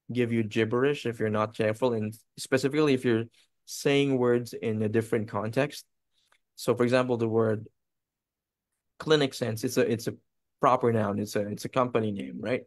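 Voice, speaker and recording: A man's voice: mid-range voice, steady tone, normal speed, no echo. A man whose first language is Filipino, speaking as a video editor in a casual webinar Q&A, loose and conversational, often checking in with listeners. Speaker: video editor, guest presenter.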